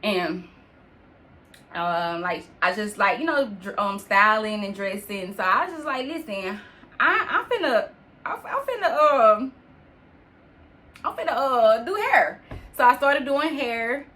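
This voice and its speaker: A woman's voice: fairly high pitch, lively intonation, unhurried, pauses often, pushed and bright. A woman, hosting her own podcast and telling her life story in a chatty way, talking straight to listeners as "sis". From New York. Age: 20s. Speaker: podcast host.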